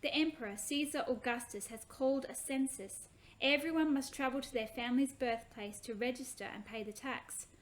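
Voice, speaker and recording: A woman voicing a gentle, earnest young mother-to-be from ancient times in a children's story, kindly and questioning. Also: high voice, normal speed, natural voice, low-quality recording.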